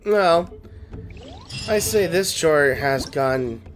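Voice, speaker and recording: Male gameplay host, casual and meandering, very lively intonation, music underneath. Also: a little high, extremely slow. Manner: rambling, thinking aloud. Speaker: gaming video host. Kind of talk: casual game commentary, reading lines aloud. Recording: game music behind the voice.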